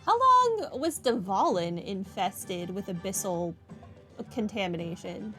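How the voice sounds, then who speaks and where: A woman's voice: medium pitch, sing-song, takes it slowly, bright, forceful tone. A woman giving casual, wry commentary on a video game playthrough, thinking aloud as she goes. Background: game music.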